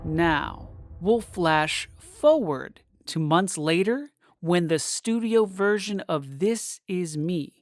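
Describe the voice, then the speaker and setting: A woman's voice: lowish voice, animated, slow pace, hardly any pauses, no echo. A woman narrator delivering scripted story narration.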